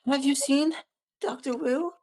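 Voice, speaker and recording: A woman's voice: high-pitched, measured pace, a little mellow. A woman playing an anxious, bewildered young boy searching for his baby sister in a screenplay table read. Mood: urgent. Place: online meeting, own room.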